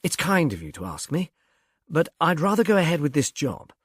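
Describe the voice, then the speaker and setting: A man voicing a polite, urbane police inspector in a storybook, with a measured, dry manner. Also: on the high side, wildly swooping pitch, slightly rough, no echo, voice pushed up, exaggerated voice. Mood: polite, businesslike.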